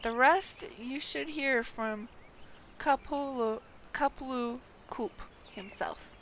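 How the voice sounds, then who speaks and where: A stern, formal commander of a game's forces, speaking in slow, measured tones, as a woman reads him out. The voice sits a little high, and the delivery is unhurried and deliberate. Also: a bit bright, a little hiss or hum, full character voice.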